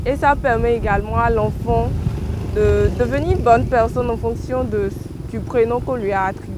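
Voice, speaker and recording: Woman, gentle and earnest, vox pop over street noise. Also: a little high, fairly even intonation, moderate pace. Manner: gentle, earnest, with small hesitations. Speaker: street interviewee. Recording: street interview with background noise.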